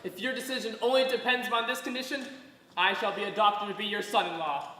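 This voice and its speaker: A man's voice: high voice, fairly even intonation, fast, bright, forceful tone. An actor playing an earnest young suitor in a stage play, declaiming his lines. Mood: eager. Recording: echoey hall from far off.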